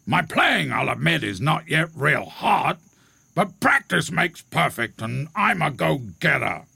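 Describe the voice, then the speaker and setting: A pompous old-school storybook rhino, super gravelly n husky, grumbly, drags his words out. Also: on the high side, very animated, bright, forceful tone, dry recording, pitched above the performer's own voice, heavily acted. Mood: pleading, proud. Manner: pompous, theatrical, drawn-out delivery.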